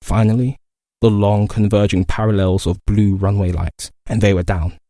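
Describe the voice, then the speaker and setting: A man's voice: on the low side, flat delivery, normal speed, warm tone, no room sound, studio quality. A man narrating literary fiction as a dramatic voice actor's reading. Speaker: voice actor performing a reel.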